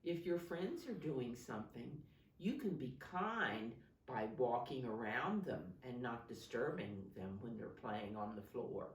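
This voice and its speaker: A woman reading a storybook aloud to young children as a teacher, pausing to explain it simply and ask questions in a deliberate, instructive way. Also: extremely low pitch, animated, slight background noise. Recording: roomy echo, distant microphone.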